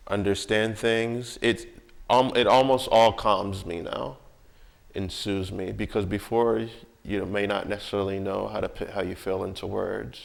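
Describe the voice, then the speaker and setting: Very gravelly, slow-talking man. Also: fairly low pitch. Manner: halting, deliberate phrasing. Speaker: musician and audio drama creator. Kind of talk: reflective conversation.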